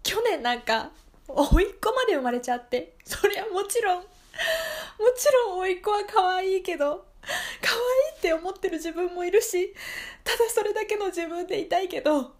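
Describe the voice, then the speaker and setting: A woman's voice: very high voice, lively intonation, normal speed, pitched above the performer's own voice. A woman playing an anxious, jealous single woman of nearly thirty in an improvised radio drama, rambling emotionally and apologetically. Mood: tearful, jealous.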